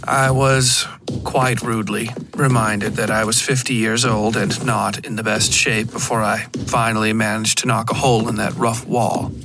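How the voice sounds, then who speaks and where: A man narrating a scripted horror fiction podcast in a measured storytelling style. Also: fairly low pitch, flat delivery, noisy background.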